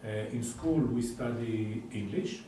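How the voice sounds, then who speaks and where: Man, hesitant, filler-heavy, distant mic, booming room echo. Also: warm tone, a little hiss or hum. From Israel. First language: Hebrew. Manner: hesitant, frequent pauses and filler sounds. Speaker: interviewee. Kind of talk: casual explanatory answers.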